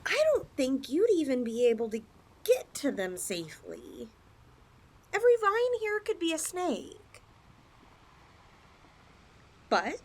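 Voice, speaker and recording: A woman's voice: high-pitched, a little hiss or hum, full character voice. A woman voicing a possessive, flirtatious lamia in a roleplay ASMR style, coaxing her chosen mate with sweet condescension. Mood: condescending, insistent.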